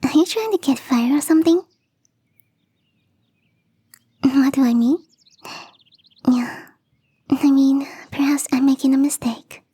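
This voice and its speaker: A woman voicing a young catgirl gardener in an ASMR roleplay, teasing, coy and playfully smug, with a breathy, whispery voice. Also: high-pitched, mellow, no room sound, close to an everyday voice. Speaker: voice actress.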